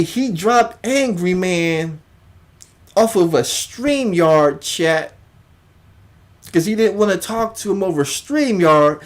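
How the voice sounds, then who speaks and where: A livestream host giving casual commentary in an emphatic way, often repeating phrases for emphasis. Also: mid-range voice.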